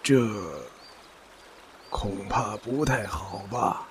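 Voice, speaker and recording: An actor playing a slow, measured old male clan elder in an audio drama. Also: on the low side, slight background noise. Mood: grave. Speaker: great elder.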